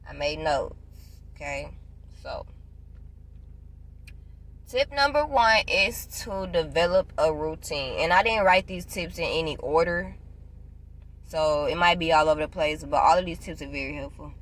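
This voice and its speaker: A woman in her twenties chatting casually to camera, giving job advice, loud and playful and repeating herself often. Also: deep voice, measured pace, long pauses. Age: 20s. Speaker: vlogger.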